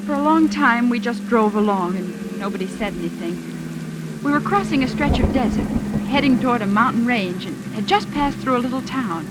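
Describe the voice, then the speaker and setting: An American actress playing a frightened, spirited young captive in a 1940s radio drama, breathless and emotive. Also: female voice, fairly high pitch, expressive, normal speed, natural voice. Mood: tense recounting. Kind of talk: 1940s radio acting, dramatic first-person narration. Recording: noisy 1940s radio recording, rain behind. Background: rain and vehicle sounds.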